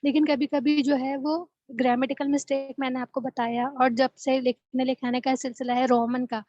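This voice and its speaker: A woman with a Pakistani Urdu accent speaks earnestly and at length to her tutor. Her voice is high and mellow, coming through dull lo-fi call audio. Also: little pitch movement, moderate pace, dry recording. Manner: earnest, apologetic, talks at length about her struggles. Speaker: student. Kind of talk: casual conversation with tutor.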